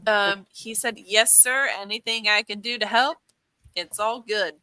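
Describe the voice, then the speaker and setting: A giggly woman co-hosting a live podcast in a bright, forceful voice. She speaks slowly and in an animated way, reading chat messages aloud, and her voice sounds close and dry. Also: mid-range voice, clear, resonant tone, dry recording. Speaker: host's daughter, co-host.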